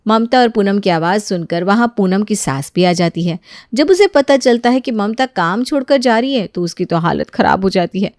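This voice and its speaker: Animated woman storyteller. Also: mid-range voice, moderate pace, dry recording, clean studio sound. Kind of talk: expressive scripted story narration in Hindi.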